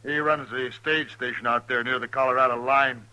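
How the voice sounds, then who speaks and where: A forceful, hard-edged voice of a frontier stage driver, medium in pitch. He is rustic and emphatic, shaken and indignant, in the manner of 1950s radio acting. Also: fairly even intonation, moderate pace, lo-fi sound. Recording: bright 1950s radio recording.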